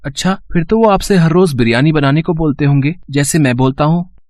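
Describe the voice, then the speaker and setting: A man's voice: fairly high pitch, brisk, a little mellow, close to an everyday voice. A man voicing an innocent young son in a cartoon story, childlike, earnest and curious. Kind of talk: cartoon moral story acting.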